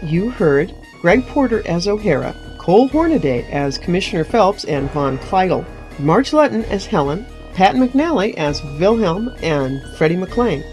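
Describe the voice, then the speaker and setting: A woman's voice: on the low side, darkish tone. A woman presenting a radio show, reading scripted announcements and credits over faint music. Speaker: presenter and director.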